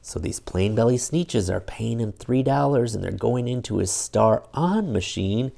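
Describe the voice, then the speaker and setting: A middle-aged man reads a picture book aloud for young children. His pitch moves around a lot and has some fry in it. He is expressive and stops to explain the story in simple terms. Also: measured pace. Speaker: storytime reader.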